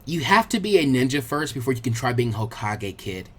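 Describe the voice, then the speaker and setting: Teenage kunoichi for audio story, played by a narrator: self-assured, mocking, lively intonation. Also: mid-range voice, fairly quick, husky, voice pushed down. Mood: stern, assured. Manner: taunting, amused, condescending. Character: teasing, confident teenage kunoichi girl, condescending. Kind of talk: expressive fan fiction character reading.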